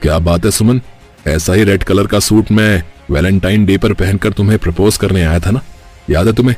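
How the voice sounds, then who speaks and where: Guy acting loyal husband in a cartoon story, lower voice, earnest and principled, music underneath. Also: fairly quick, a little mellow. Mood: pleased. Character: trusting, loyal husband and elder brother.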